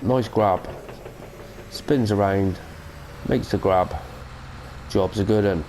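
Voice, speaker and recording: A man's voice: lowish voice, slow, darkish tone, slight background noise. A British man with a cold, giving a chatty, rambling mod review of a farming game and correcting himself as he goes. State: has a cold. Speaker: gaming channel host. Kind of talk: casual mod review commentary.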